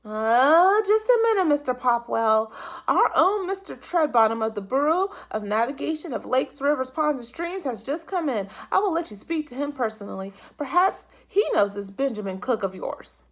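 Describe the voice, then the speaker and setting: Lady playing a slow muddled phone clerk, bouncy high voice. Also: sing-song. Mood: confused. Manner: slow, overly careful. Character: confused slow-talking male city clerk.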